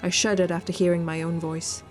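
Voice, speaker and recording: A woman's voice: fairly low pitch, level tone, brisk. A woman streaming a video game, reading the story text expressively and adding teasing, coarse-mouthed asides. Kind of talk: reading visual novel text aloud with joking commentary. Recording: music under the voice. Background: game music.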